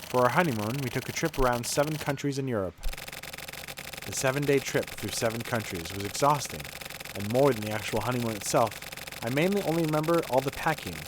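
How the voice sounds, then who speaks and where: Male narrator, mid-range voice, reads story text with measured drama; noticeably noisy recording. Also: mid-range voice. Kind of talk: dramatic read-aloud of game narration.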